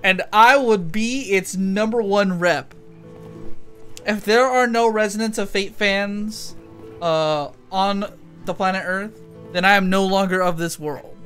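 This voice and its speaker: Game streamer, medium-pitched voice, casual and profane live commentary, excitable delivery over audible game music. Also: a little slow. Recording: loud game music behind. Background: video game music.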